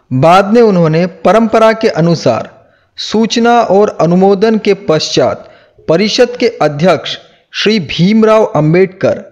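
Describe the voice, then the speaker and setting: A man reading a scripted historical narration in a formal, measured manner. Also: high-pitched, darkish tone, dull audio. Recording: music behind the voice. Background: background music.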